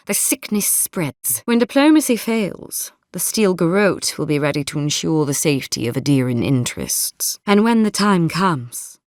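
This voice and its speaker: A haughty, zealous woman captain of a military order for a video game. Her voice sits a little low for a woman and moves widely in pitch. She speaks formally and imperiously, with clipped authority, in a dry, close recording. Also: no echo. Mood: firm, warning. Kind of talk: video game character dialogue.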